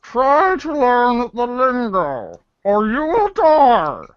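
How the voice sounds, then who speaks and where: A woman voicing a snooty, sardonic young girl in a read-along storybook parody, speaking deliberately and with dry exasperation. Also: a little high, wildly swooping pitch, no room sound, muffled recording, exaggerated voice. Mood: haughty.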